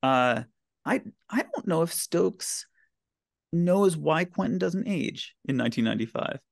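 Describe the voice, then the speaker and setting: Man with a creaky voice, chatting enthusiastically. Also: on the high side, lively intonation, measured pace, dry recording, clean studio sound. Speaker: podcast guest, blogger and writer. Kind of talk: casual enthusiastic discussion.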